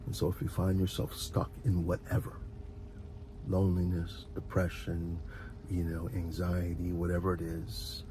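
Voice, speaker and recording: A man's voice: low-pitched, completely flat delivery, measured pace, noisy background. A man giving a rambling, motivational ASMR talk in an earnest, encouraging way, repeating phrases for emphasis. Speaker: ASMR video host.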